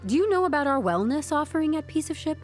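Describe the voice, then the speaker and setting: An actress playing a composed, stubborn HR newcomer in an audio drama, speaking with deadpan corporate politeness and wry candour. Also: female voice, sits high, fast talker, faint music behind. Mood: brisk, official. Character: composed, stubborn young HR newcomer turning interrogation into interview.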